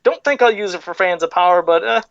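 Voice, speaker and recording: A man's voice: sits high, quick pace, creaky, a bit bright, no room sound, muffled recording. A man hosting a podcast in easygoing, chatty casual talk. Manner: easygoing, chatty, laughs along. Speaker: podcast host. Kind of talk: casual chat.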